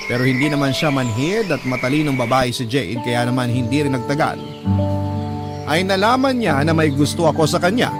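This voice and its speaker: High-pitched Filipino male story narrator. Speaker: radio drama narrator reading a letter. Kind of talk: scripted first-person story narration. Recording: loud music behind the voice.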